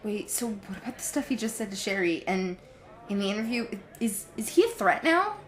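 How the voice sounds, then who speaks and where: Audio drama part: reassuring, protective young female, played by a woman, medium pitch, everyday natural speech. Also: very animated, a little fast, lightly acted. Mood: worried.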